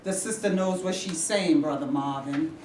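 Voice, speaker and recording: A woman's voice: low-pitched, normal speed, a little mellow, lightly acted. A woman acting an exasperated, impatient civil rights activist in a staged play reading, emphatic and fed up. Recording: echoey, noisy stage recording from far off.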